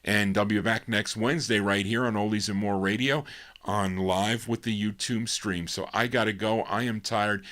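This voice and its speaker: A man's voice: lowish voice, steady tone, normal speed, a bit bright. A male radio host chatting casually and rambling, with a tired voice he says he is losing. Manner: rambling, self-mocking, many restarts. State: losing his voice, dry throat. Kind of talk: casual on-air chat, sign-off.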